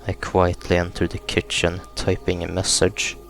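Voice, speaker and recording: A man reading a horror visual novel aloud in a subdued, unhurried way, as a gaming YouTuber narrating a playthrough. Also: low voice, completely flat delivery, gravelly. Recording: music behind the voice. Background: game music.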